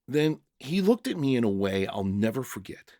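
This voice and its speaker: Expressive male storyteller, dry close sound. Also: mid-range voice, no echo. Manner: measured, reflective storytelling. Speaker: story narrator. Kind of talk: dramatic scripted first-person narration.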